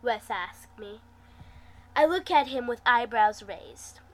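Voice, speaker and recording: A woman with a high, bright voice reads a story aloud as an amateur narrator. Her delivery is dramatic and unhurried, slipping into acted dialogue along the way. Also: expressive. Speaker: amateur fan fiction reader, host.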